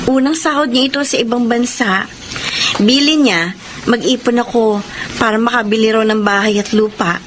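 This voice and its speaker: A hopeful young wife and mother, played by a woman in a Filipino radio drama. She speaks earnestly in a highish voice over clearly noisy audio. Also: moderate pace, natural voice.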